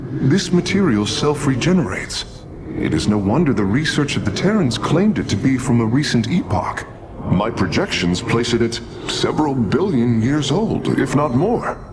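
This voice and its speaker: A man plays an inquisitive alien engineer in a game, precise and eager, with a lively voice. Ambient noise hums behind. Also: medium pitch, normal speed, close to an everyday voice. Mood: curious. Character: curious alien engineer, male, analytical. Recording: game cutscene with background noise.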